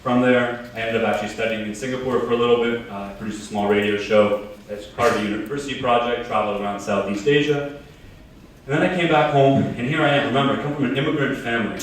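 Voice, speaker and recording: A man's voice: medium pitch, steady tone, fast talker, far from the mic, a little hiss or hum. A man in his thirties giving a casual, joking keynote talk to a live audience, telling his story in a large conference hall. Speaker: keynote speaker. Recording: very echoey hall, heard from afar.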